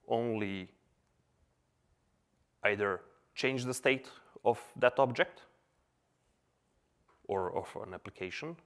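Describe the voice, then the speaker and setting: A man in his thirties from Serbia giving a casual, chatty conference talk with many filler words, on a stage mic. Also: on the low side. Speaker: conference speaker. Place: conference hall.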